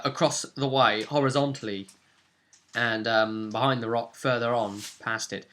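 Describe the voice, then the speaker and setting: A man with a bright, forward voice talks as a game master recapping a session. He is chatty and rambling, often tagging questions onto his sentences to get agreement. His pitch sits in a middle male range. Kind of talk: casual recap of a tabletop role-play session.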